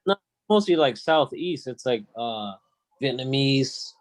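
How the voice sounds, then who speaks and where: A man from Tennessee, a music producer, speaks as a podcast guest in a soft, hesitant way. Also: mid-range voice, lively intonation, a little slow, no echo. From Tennessee, United States. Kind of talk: casual podcast chat.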